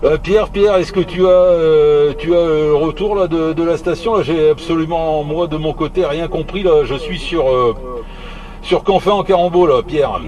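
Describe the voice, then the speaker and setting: A man chatting casually on CB radio in a halting, repetitive, teasing way. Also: a little high, noisy background. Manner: hesitant, halting, repeating words; jocular, teasing. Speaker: amateur CB radio operator.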